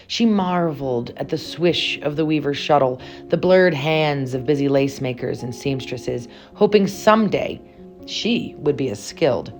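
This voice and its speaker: Low-voiced woman reading a storybook expressively. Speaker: read-aloud storyteller. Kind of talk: expressive children's picture book narration.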